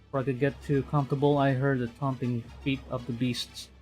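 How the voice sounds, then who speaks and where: A man doing live game commentary, excitable, reading story text aloud and muttering phrases over to himself. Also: mid-range voice, a little fast, music in the background, poor audio. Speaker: streamer.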